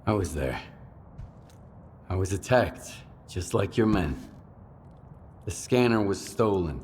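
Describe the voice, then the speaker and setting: An actor playing a plainspoken, wary drifter in a video game, terse and matter-of-fact. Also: male voice, low-pitched, lively intonation, takes it slowly, a little mellow, a little hiss or hum. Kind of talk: video game cutscene acting.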